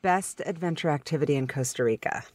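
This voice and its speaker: A woman hosting a podcast interview, upbeat and enthusiastic, firing off quick questions. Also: low-pitched, darkish tone, dry recording, clean studio sound. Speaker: podcast host.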